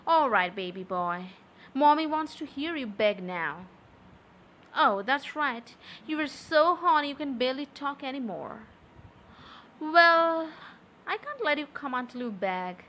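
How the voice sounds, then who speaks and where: A young adult woman speaking softly and close to the mic in an intimate audio roleplay, with a teasing, coaxing manner. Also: sits high, expressive. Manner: soft, slow, teasing and coaxing.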